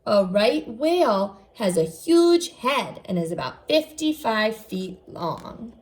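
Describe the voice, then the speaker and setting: A woman's voice: on the high side, big pitch swings, takes it slowly. A woman teacher giving a read-aloud lesson to young children, speaking in an instructional, enunciated way. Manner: instructional, enunciated, posing questions to young listeners. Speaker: first-grade teacher.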